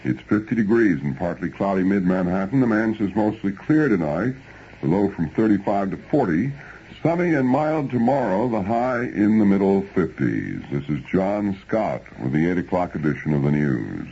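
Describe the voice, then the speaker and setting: Low husky male newscaster, old radio. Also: sing-song, normal speed, mellow. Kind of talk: scripted news reading. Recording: dull old radio recording.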